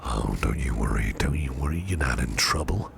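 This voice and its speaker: A man playing a flirtatious, protective businessman boss in a soft, slow, soothing ASMR roleplay, with a deep, gravelly near-whisper. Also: animated, a little hiss or hum, full character voice. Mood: soothing, attentive. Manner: slow, soothing, teasing, repeats phrases softly.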